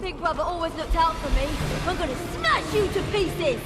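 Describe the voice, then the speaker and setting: An actress playing a violent, bratty younger sister villain in a video game, taunting and boastful with childish aggression. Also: female voice, extremely high pitch, animated, moderate pace, over loud music. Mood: menacing.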